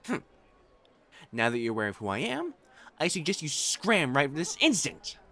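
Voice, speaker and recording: A man's voice: fairly high pitch, huge pitch swings, moderate pace, pushed and bright, full character voice. A man playing a proud, possessive noble fiancé in a romantic audio roleplay, haughty and formal in speech and easily flustered. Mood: exasperated, jealous.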